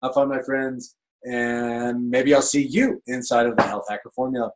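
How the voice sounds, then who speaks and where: A man with a slightly raspy voice, giving a casual webinar pitch as an online health coach, loose and chatty with fillers and restarts. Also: steady tone, moderate pace. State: slightly raspy from talking a lot.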